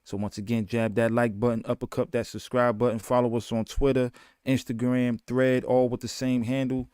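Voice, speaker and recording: A man from the United States, co-hosting a casual boxing podcast in a relaxed, easygoing way and often adding "you know what I'm saying.". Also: medium pitch, normal speed, clean tone, no room sound, studio quality. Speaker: podcast co-host.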